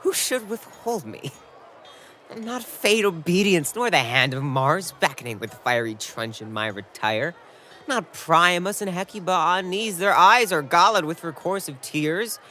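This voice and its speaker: A man's voice: high-pitched, expressive, a little slow, pushed and bright, slight background noise, exaggerated voice. A man playing a fiery, defiant young warrior prince in a Shakespearean audio drama.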